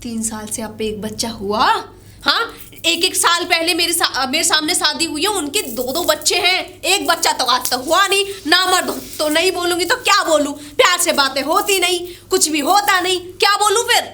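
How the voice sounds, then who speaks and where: A woman playing an aggrieved, sharp-tongued young wife in an amateur family drama, complaining and arguing. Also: high voice, expressive, moderate pace. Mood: hurt, furious. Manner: complaining, argumentative.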